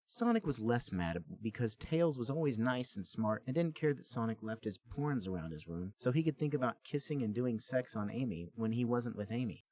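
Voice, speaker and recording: A man's voice: mid-range voice, expressive, normal speed, few pauses, darkish tone. A man co-hosting a podcast, reading a story aloud in a mock-serious, dramatic comic style. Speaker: podcast co-host and reader.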